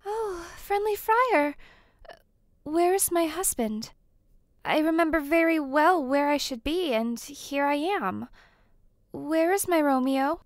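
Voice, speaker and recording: A woman's voice: sits high, lively intonation, slow, no echo, studio quality, heavily acted. A woman playing a lovestruck, grieving young bride in an audio drama, tender and theatrical, with a stubborn streak. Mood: hopeful, confused. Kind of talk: audio drama acting of modernized Shakespeare.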